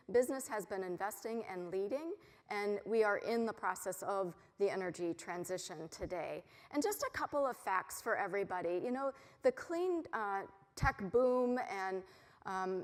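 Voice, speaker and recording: A middle-aged American woman giving a confident, upbeat conference keynote with frequent ums, recorded on a mic in a large hall. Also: medium pitch. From United States. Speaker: keynote speaker. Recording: long reverb of a large hall.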